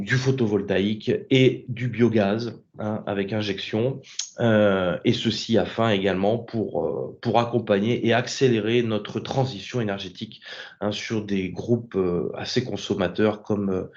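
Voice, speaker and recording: A man's voice: on the low side, darkish tone. A man presenting in a webinar, speaking hesitantly with many fillers. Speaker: cooperative group executive speaker. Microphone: video call. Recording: dull lo-fi video call audio.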